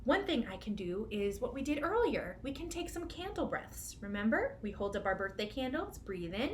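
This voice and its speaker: A woman's voice: on the high side, big pitch swings, moderate pace. A woman hosting a children's storytime as a librarian, giving simple, encouraging instructions to young children. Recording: clearly noisy room recording.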